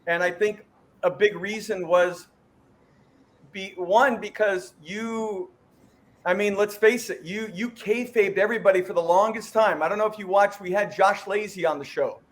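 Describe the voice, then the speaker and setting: Middle-aged male host, rambling friendly banter, notably high voice. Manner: chatty, rambling, jokey. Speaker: podcast host. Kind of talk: casual interview chat.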